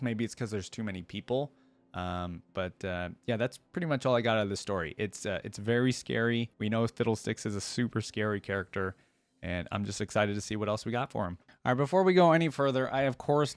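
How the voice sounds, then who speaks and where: A man giving casual, informal reaction commentary, thinking out loud and easily excited. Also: mid-range voice, dry recording. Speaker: reactor and channel host. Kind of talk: reads story aloud dramatically.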